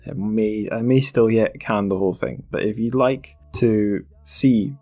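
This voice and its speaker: A man hosting a podcast in a casual chat, speaking in a thoughtful, halting way. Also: fairly low pitch, warm tone. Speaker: podcast host. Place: remote group call.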